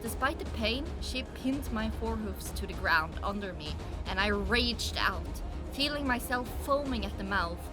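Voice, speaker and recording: A woman's voice: medium pitch, expressive, moderate pace, few pauses. A woman reading dramatic first-person audiobook narration, with music playing underneath.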